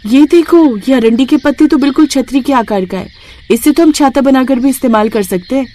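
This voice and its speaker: A woman's voice: sits high, brisk, dark tone, pitched above the performer's own voice, lightly acted. A woman voicing an eager, practical young village daughter-in-law who exclaims in wide-eyed wonder in a cartoon story. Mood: excited discovery. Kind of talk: cartoon moral story dialogue.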